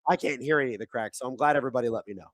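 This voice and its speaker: A man with a thin, raspy, hoarse voice hosts a live hockey watchalong, chatting casually, reading viewer comments and calling plays excitedly. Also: mid-range voice, racing, no echo, clean studio sound. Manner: casual, reads viewer chat aloud; excitable play-by-play on big moments. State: hoarse, voice squeaking. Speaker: watchalong host. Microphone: streaming microphone.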